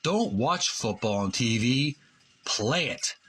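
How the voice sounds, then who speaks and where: A man hosting a video channel, reading aloud and commenting in a halting way, often repeating and correcting his phrases. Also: mid-range voice, animated, a bit bright, slightly rough. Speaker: host of a retro video game channel. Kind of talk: read-aloud book walkthrough with commentary.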